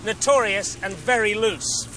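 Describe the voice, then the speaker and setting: High, bright-voiced English male TV reporter. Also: poor audio. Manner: wry, measured delivery with deliberate dramatic pauses. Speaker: television news reporter. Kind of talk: scripted investigative report, to camera and voice-over. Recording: 1970s TV film sound, background noise.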